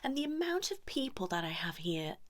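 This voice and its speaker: A woman playing a blunt, judgmental female couples therapist in an ASMR role-play, soft and breathy, often dropping into a whisper. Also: medium pitch, big pitch swings, natural voice. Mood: chiding, exasperated. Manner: fussy, chiding, pausing as if listening to clients.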